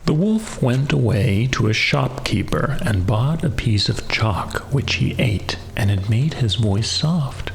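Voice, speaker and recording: A man's voice: medium pitch, expressive, slow, creaky, few pauses, a little hiss or hum, rough recording. A man reading a fairy tale as a bedtime audiobook narrator.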